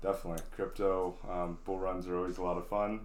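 Young man hosting, low voice, roomy echo. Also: quick pace. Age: 20s. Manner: relaxed, friendly, jokey. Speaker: podcast host. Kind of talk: casual interview chat.

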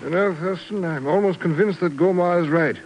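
An actor playing a genteel, puzzled titled gentleman in 1950s radio drama, courteous and somewhat blustering. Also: sits high, fairly quick, lo-fi sound. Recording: hissy old radio broadcast.

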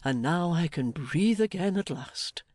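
A man voicing a jolly, blustering older English gentleman in an audiobook, fatherly, emphatic and effusive. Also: fairly high pitch, expressive, measured pace, dry recording, studio quality. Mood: jovial, bustling. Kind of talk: dramatised audiobook character.

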